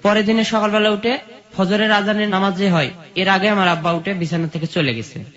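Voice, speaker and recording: A man's voice: sits high, steady tone, fairly quick, fairly bright tone. A man with a rural Bangladeshi accent recounts his own life in an interview, telling it plainly step by step with repetition. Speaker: guest telling life story. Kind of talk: personal storytelling in an interview. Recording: dull, muffled lo-fi sound.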